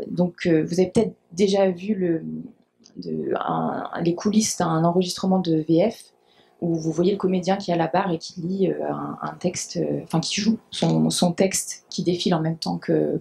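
Woman in her thirties, gravelly, mellow, hesitant with fillers, handheld mic, lecture hall panel. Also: on the low side. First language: French. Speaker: dubbing adapter speaking on a panel. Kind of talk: conference panel talk.

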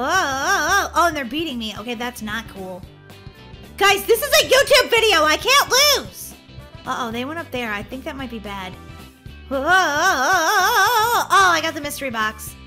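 A woman giving excitable game commentary as a video host, in a girlish, dramatic, exclamatory manner. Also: high voice.

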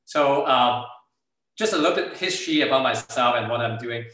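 A man's voice: medium pitch, animated, normal speed, bright, forceful tone, heavy reverb, poor audio. A middle-aged man from Hong Kong, a native Cantonese speaker with a Hong Kong accent, giving an informal startup presentation over a video call. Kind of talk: informal slide presentation with product demo. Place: indoor room, on a video call.

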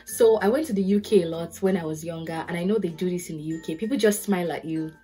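A woman's voice: lowish voice, quick pace, faint music behind. A young woman from Nigeria with a Nigerian accent, giving casual advice to the camera and acting out little stories as she goes. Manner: lively storytelling, acts out quotes. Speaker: podcast host, lawyer. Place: bedroom with curtains, indoors.

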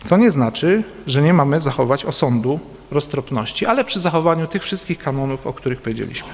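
A man's voice: on the high side, lively intonation, darkish tone, slight background noise. Man lecturing formally in a reverberant hall. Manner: measured, formal, hesitant fillers between phrases. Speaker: conference speaker. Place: large church hall with a seated audience. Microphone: standing at a lectern, recorded by a distant camera. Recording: long hall reverb from a distant camera.

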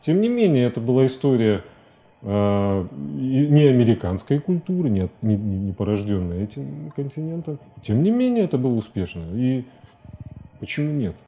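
A man's voice: medium pitch, sing-song, dark tone, muffled recording. A middle-aged man giving a casual studio interview as a guest, searching for words as he talks. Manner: hesitant, halting, searching for words. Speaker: guest, animation director. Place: TV studio, seated at desk.

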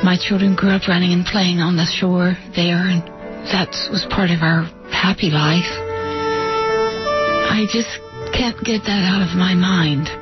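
A woman's voice: fairly low pitch, fairly even intonation, a little slow. An older woman poet giving a solemn, storytelling dedication. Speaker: poet and author. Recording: dull lo-fi recording. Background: music.

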